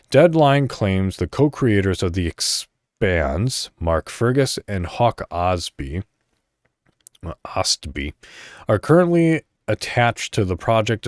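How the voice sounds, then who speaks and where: Slow talking guy podcasting solo, rambly n sweary, pitch goes up and down a lot. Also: no room sound, studio quality. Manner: rambling, thinking aloud, swears casually. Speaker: podcast host. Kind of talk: casual solo podcast commentary.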